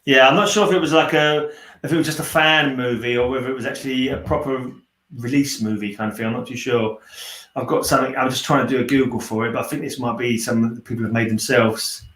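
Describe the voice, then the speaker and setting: A man's voice: mid-range voice, steady tone, quick pace, a bit bright. A man hosting a casual livestream chat, talking in an informal, rambling way. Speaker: host of a livestream read-along. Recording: roomy, echoey sound.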